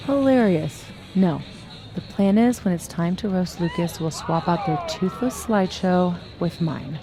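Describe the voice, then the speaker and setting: A woman's voice: fairly low pitch, a little slow, over music. A woman playing a bold, sardonic, vengeful young woman in a video game, speaking with emphasis. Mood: resolute. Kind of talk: naturalistic video game acting.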